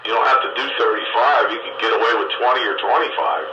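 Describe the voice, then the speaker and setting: Male, mid-pitched, folksy rambler for radio banter; muffled line, loud static. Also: steady tone, fast talker. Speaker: radio round table participant. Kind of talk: casual joking radio chat. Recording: very noisy, muffled, echoey radio transmission.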